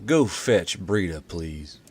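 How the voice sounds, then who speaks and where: Man playing a gruff, low-voiced military commander. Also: lively intonation, slow, no echo, heavily acted. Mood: terse, serious. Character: gruff male military commander, short-tempered, barking at troopers.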